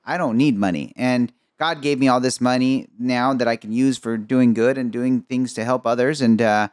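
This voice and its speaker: A man's voice: medium pitch, dry recording. Chatty male podcast host, dry close mic. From Egypt. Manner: upbeat, chatty, quick asides. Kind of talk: conversational interview.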